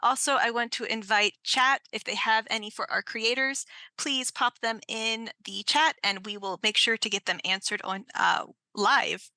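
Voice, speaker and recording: A woman's voice: on the high side, steady tone, moderate pace, pushed and bright, dry recording. A woman moderating a casual Q&A over a video call, with informal, hesitant phrasing. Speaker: panel moderator. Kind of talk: casual Q&A hosting.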